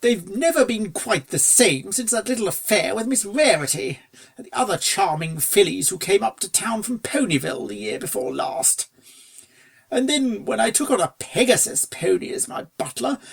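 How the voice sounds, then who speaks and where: A reader voicing a suave upper-class gentleman stallion in a storybook, with a posh, drawling, breezy manner and old-fashioned phrasing. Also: medium pitch, expressive, moderate pace, creaky, pitched above the performer's own voice. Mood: smooth, amused. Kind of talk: storybook character dialogue.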